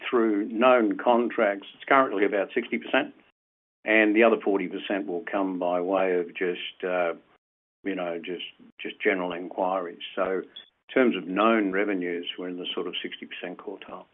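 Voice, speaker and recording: A man's voice: sits low, flat delivery, normal speed. A man speaking as a company executive, answering questions on an earnings call in an explanatory way and restarting phrases. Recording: tinny, phone-like conference call line.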